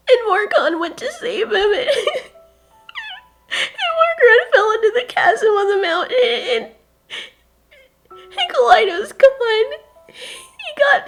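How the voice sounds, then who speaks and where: Guilt-stricken young girl, sobbing, very high, voice cracking. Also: full character voice. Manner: sobbing, breaking voice. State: crying.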